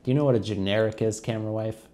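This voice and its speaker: Congested man, low voice. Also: steady tone, very fast, warm tone. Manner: chatty, keeps addressing viewers as guys. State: congested with a cold. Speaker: English teacher vlogger. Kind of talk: casual explanatory vlog to learners.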